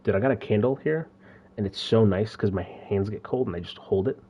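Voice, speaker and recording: Laid-back low-voiced man chatting over a game. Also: a little fast, breathy edge, dull audio. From India. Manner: laid-back, laughs often, repeats words. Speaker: streamer. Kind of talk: casual gaming chat.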